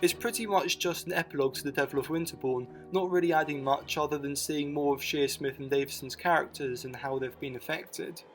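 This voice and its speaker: A man with a slightly high voice reads scripted documentary narration. He keeps it informal and matter-of-fact, and soft music plays behind him. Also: fairly even intonation, fairly quick, few pauses. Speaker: video essay narrator. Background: music bed.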